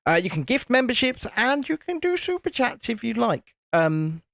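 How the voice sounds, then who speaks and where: High-voiced man hosting a livestream. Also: sing-song, moderate pace, gravelly, a bit bright, no room sound. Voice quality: naturally high-pitched voice. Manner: cheeky, banters and reads out chat. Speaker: host of a building livestream. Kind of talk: casual livestream chat.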